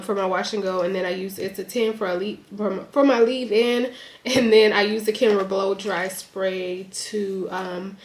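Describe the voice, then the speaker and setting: Young adult woman, conversational vlogger, mildly congested, filler-heavy, roomy echo. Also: mid-range voice. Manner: chatty, lots of "like" and "um". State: a bit congested. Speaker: YouTuber. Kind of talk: casual sit-down chat to camera. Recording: echoey room.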